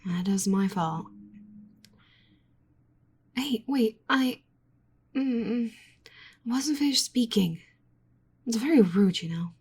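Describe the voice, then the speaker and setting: A woman playing a nerdy, flustered slime-girl researcher in an ASMR roleplay, speaking softly with fussy, rambling, stammering exasperation. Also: on the high side, animated, takes it slowly, pauses often, breathy edge, full character voice. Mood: exasperated, pleading.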